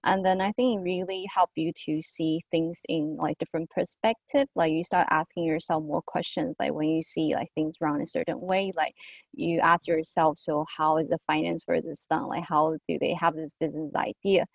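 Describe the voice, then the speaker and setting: Kobieta o niskim głosie, gadatliwa. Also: female voice, deep voice, fairly even intonation, moderate pace, creaky, breathy edge, no room sound. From Taiwan. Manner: chatty, uses "like" often. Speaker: guest. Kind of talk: casual interview chat.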